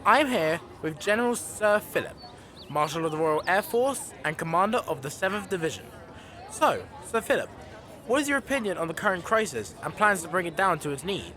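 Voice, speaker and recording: A man's voice: sits high, lively intonation, brisk, sharp tone, some chatter behind. A young man playing an earnest, formal TV news interviewer in a radio-style drama. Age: young adult. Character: earnest male TV news interviewer on crisis show.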